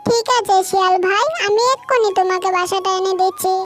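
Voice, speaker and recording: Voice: very high voice, steady tone, a little fast, fairly bright tone. A performer voicing a helpful, gullible frog in a children's cartoon, with a childlike delivery. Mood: eager. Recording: music bed behind the voice.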